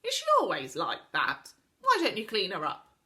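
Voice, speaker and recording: A woman voicing a deaf, cheerful little man covered in pans, who talks loudly and mishears everything. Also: a little high, wildly swooping pitch, measured pace, voice pushed up, heavily acted. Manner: loud, mishearing, earnest.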